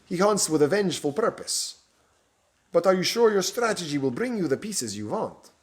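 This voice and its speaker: A man's voice: sits high, sing-song, normal speed, pitched above the performer's own voice. A man voicing a thin, pale, sinister stranger with a Romanian accent, speaking in a cold, hard, hissing way. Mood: sly.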